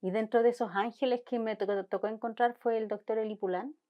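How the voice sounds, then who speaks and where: A woman's voice: on the high side, fairly even intonation, brisk, highs cut off. A Chilean woman marine biologist, speaking thoughtfully in an interview and often restarting as she searches for words. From Chilean Patagonia. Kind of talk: conversational interview.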